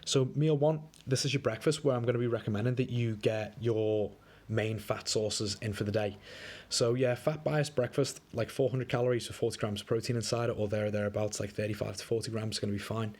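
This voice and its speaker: Fast-talking, slightly wheezy man. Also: on the low side, fairly even intonation, a little mellow. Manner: laid-back, chatty, lots of fillers. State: a bit wheezy, coming down with flu. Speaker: diet coach. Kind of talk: casual screen-recorded walkthrough. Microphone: screen recording.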